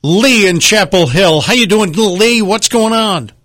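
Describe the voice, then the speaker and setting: Radio co-host guy, higher voice, quick friendly caller intros, dry studio sound. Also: fairly bright tone, no echo. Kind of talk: call-in radio talk.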